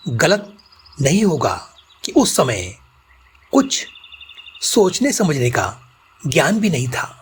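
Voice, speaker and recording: A man with an Indian accent leads a guided meditation at a very unhurried pace. His delivery is gentle and soothing, in short deliberate phrases, with lively rises and falls in a medium-pitched voice. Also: breathy edge. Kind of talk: slow guided meditation narration.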